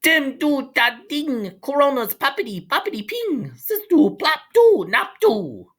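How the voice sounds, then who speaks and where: A man's voice: very high voice, very animated, a little slow, fairly bright tone, voice pushed up, full character voice. A man voicing a tiny, wise baby girl from a storybook, babbling in singsong nonsense baby talk. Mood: babbling, playful.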